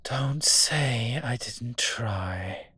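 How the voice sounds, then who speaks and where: Man acting a slow, cocky, menacing monster detective. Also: mid-range voice, pushed and bright. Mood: taunting, menacing. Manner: taunting, drawn-out threats with breathy drops. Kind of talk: roleplay audio drama acting.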